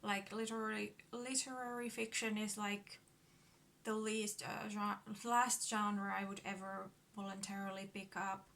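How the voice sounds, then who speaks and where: A woman in her thirties from Finland talks into her phone camera at home, her English carrying a Finnish accent. She speaks slowly, with a bright tone, nervous laughs and plenty of fillers. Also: mid-range voice, level tone, gravelly. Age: 30s. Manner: nervous, giggly, hesitant with many fillers. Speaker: book vlogger. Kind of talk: casual vlog talk to camera. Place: at home in front of a bookcase.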